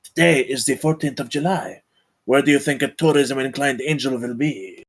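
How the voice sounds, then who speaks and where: A man playing a gravelly, commanding count. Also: mid-range voice. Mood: stern. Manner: formal, measured, solemn. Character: commanding, grave, courtly count leading a supernatural hunt. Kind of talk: dramatic screenplay table read.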